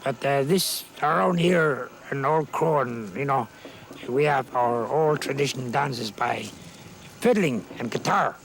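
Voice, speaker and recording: A man who emcees and calls square dances at a festival, speaking hoarsely after losing his voice, in an easygoing interview full of "you know". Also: mid-range voice, a little slow, a crowd in the background.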